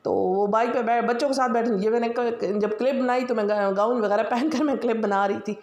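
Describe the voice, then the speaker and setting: A woman's voice: on the high side, steady tone, a little fast, darkish tone, roomy sound. A woman from Pakistan with a Pakistani Punjabi accent, chatting casually about her household routine as a vlogger, her throat sore and scratchy. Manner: chatty, rambling, many fillers. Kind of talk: casual daily-routine voice-over.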